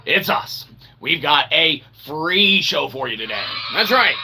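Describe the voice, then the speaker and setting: A man's voice: a little high, animated, unhurried, music in the background. A man hosting a rambling comedic livestream monologue like a mock-grand showman, often tagging phrases with "okay". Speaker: show host.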